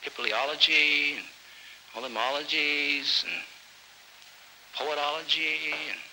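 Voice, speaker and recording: A man's voice: fairly high pitch, fairly even intonation, slow, sharp tone, slight background noise, voice pushed up, heavily acted, low-quality recording. A man doing a singsong nagging mother. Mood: coaxing. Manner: singsong, rattling off made-up school subjects. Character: nagging mother urging her son to school.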